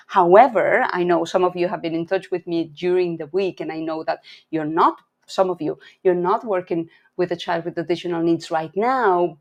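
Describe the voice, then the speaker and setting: A woman's voice: fairly low pitch, vocal fry, a little mellow. A middle-aged woman from Barcelona with a Spanish accent, presenting a webinar for parents and teachers in an earnest, practical way with frequent restarts. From Barcelona, Spain. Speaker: early intervention specialist and webinar host.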